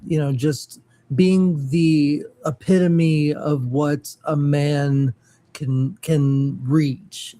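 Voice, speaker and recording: A man chatting casually about geek topics as a co-host, hesitant and pausing in the middle of phrases. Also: fairly high pitch, steady tone, warm tone. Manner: hesitant, trailing, pauses mid-phrase. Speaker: podcast co-host.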